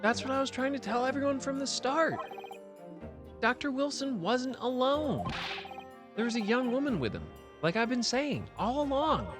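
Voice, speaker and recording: A man's voice: very high voice, very animated, normal speed, over music, pitched above the performer's own voice. A man reading a nervous young defendant's lines in a fan-voiced game playthrough, earnest and jittery. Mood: flustered. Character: young male defendant turned nervous lawyer.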